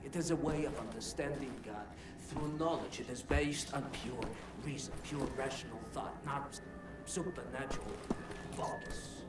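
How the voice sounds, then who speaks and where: An actor playing an elderly, eccentric, proud scholarly engineer in a game, speaking deliberately with occasional stammers. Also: male voice, medium pitch, heavy background noise, natural voice. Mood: earnest, flustered. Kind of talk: video game cutscene acting.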